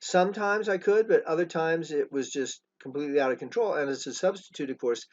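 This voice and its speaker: A man's voice: fairly high pitch, a little fast. Earnest older man giving a dull, lo-fi presentation. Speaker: education trainer presenting his program. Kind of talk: spoken presentation to schools. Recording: dull lo-fi recording.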